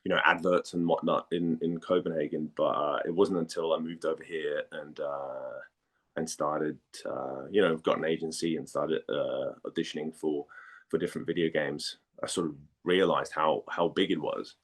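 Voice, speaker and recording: A man's voice: extremely low pitch, fairly even intonation, moderate pace. A man from Denmark speaking as a guest in a casual interview, informal, hesitant and self-deprecating. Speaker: interview guest, voice actor and former model.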